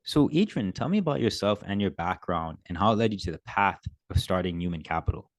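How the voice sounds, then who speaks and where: Deep-voiced podcast host man. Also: animated, fairly quick, no room sound. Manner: scripted, upbeat introductions. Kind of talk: podcast interview hosting.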